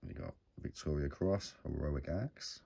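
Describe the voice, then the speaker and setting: A British man with a slightly croaky, sore-throat voice, giving casual, low-key hobby commentary as he goes along. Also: measured pace, warm tone. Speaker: host of a coin hunting channel. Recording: noisy home recording with crinkling bag sounds.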